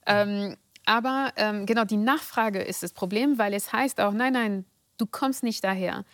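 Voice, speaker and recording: Guest: French-accented woman, deliberate with hesitations, dry studio. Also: mid-range voice, no echo. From France. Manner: measured and deliberate, with frequent hesitations. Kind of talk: thoughtful interview answers.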